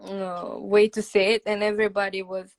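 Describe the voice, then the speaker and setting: A woman's voice: medium pitch, little pitch movement, normal speed. A woman from Romania with a Romanian accent, a native Romanian speaker. She chats as a guest on a craft live stream, talking a lot, searching for English words and laughing often. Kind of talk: casual live-stream chat.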